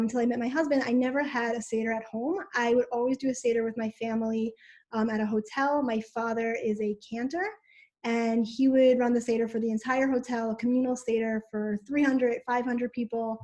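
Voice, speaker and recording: A woman's voice: fairly high pitch, darkish tone. A woman teacher who grew up in Israel, explaining informally on a video call with many ums. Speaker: middle school teacher.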